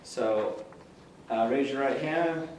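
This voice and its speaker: Man, leads an oath, casual, halting, very echoey room, far from mic. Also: mid-range voice, darkish tone, slight background noise. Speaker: official administering the oath. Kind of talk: swearing in board members. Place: meeting room. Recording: echoey hall, distant mic.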